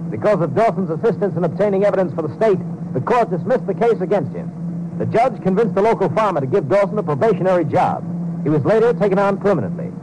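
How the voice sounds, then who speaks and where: A man's voice: sits high, animated, fast. A man giving formal, matter-of-fact scripted narration in 1950s radio style. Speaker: narrator of case results. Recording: noisy vintage radio recording.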